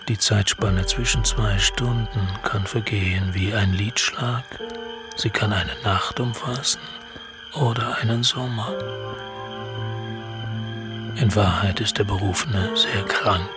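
An actor playing an ominous chronicler of a chosen one in a radio play, speaking in a hushed, measured, portentous way. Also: male voice, fairly low pitch. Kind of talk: solemn radio play monologue.

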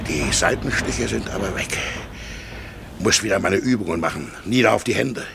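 A man's voice: on the low side, expressive, moderate pace, background noise. An actor playing an anxious, moralizing middle-aged man who mutters fretfully to himself in a German radio play from the 1960s. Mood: breathless, fretful. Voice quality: husky, gravelly.

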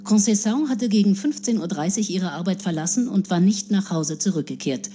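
Actress as mellow, sober newsreader. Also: female voice, mid-range voice, a little fast, lightly acted. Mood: grave, factual. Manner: formal, factual. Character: sober female newsreader with a crime bulletin. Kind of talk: scripted news bulletin in radio drama.

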